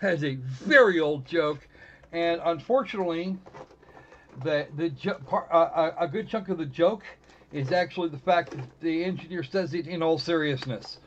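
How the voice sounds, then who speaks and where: A man hosting a live game stream in casual chat, often halting and restarting his phrases. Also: fairly high pitch, muffled recording, a little hiss or hum.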